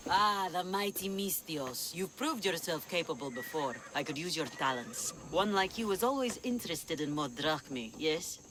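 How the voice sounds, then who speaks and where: An actress playing a proud, commanding pirate leader in a video game, speaking formally and imperiously. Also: female voice, low-pitched, expressive, normal speed, hardly any pauses, fairly bright tone, slight background noise. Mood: proud, acquisitive. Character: commanding, proud female pirate leader who covets treasures. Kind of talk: video game cutscene dialogue acting.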